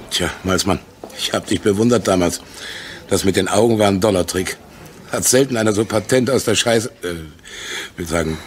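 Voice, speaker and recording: A middle-aged salesman played by a male actor in a radio crime drama. His voice sits fairly low, and he talks in a forward, jovial, slightly crude way, like an old soldier sure of himself. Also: slight background noise, close to an everyday voice. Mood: bitter, sardonic. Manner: chatty, forward, crude-tongued. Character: brash, jovial middle-aged canned-meat salesman and war veteran.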